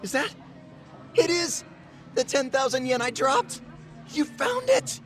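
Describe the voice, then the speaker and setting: A man's voice: very high-pitched, big pitch swings, slow pace, soft background music, exaggerated voice. An actor playing a meek, awkward male diner in a game dub, speaking in an earnest, polite, self-deprecating way. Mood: delighted relief. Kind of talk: English dub game cutscene acting.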